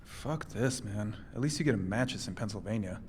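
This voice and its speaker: A man's voice: on the low side, lively intonation, a little fast, slight background noise, close to an everyday voice. Man playing a brash American teen boy, reverberant. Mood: complaining. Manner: slangy, whiny, brash. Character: crude, cocky American high school boy. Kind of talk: fantasy audio drama acting.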